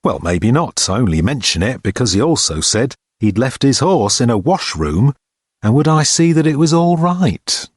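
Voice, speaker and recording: Man acting a chatty wiry porter, slightly husky. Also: mid-range voice, big pitch swings, normal speed, dry recording, studio quality, voice pushed up. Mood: rambling. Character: short, wiry, chatty male college porter. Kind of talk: audiobook character dialogue.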